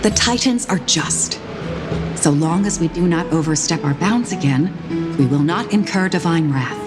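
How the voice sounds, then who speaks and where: An actress plays a devout, stern older citizen in a fantasy game. The voice sits a little low, formal and measured with a reproving tone, while music plays clearly behind it. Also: female voice, expressive, close to an everyday voice. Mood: reproving, pious. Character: stern, pious older citizen devoted to the gods. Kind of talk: video game story voice acting.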